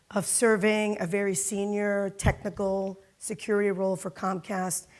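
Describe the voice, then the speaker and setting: A middle-aged woman from Miami speaking on a conference panel, telling her career story with lots of fillers. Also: medium pitch. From Miami, Florida. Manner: lively storytelling with frequent fillers and laughs. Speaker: panelist, corporate security executive. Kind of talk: panel talk. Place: conference hall.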